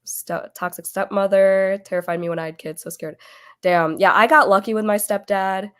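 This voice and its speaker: A woman hosting a casual book club chat over a video call, losing her voice as she gets over an illness, chatty and quick to laugh. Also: medium pitch, fairly even intonation, clean tone. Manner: chatty, laughs often, trails off.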